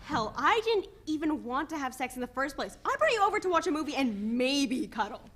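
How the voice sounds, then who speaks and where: An exasperated teenage girl in a stage play, acted by a woman, with a high voice that swings widely. She scolds with biting sarcasm, and there is clear room noise behind her. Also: big pitch swings, brisk. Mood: exasperated, angry. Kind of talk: dramatic reading of a play.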